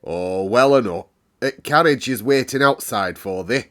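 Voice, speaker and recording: A man voicing a burly, rough but good-natured station master in a storybook, speaking broad, hearty Yorkshire. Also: medium pitch, a little slow, fairly bright tone, close to an everyday voice.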